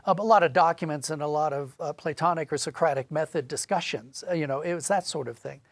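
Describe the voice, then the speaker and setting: A man's voice: a little high, fast talker, no room sound. A man giving thoughtful, explanatory answers as a conversational interview guest. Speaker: interview guest, audio drama writer.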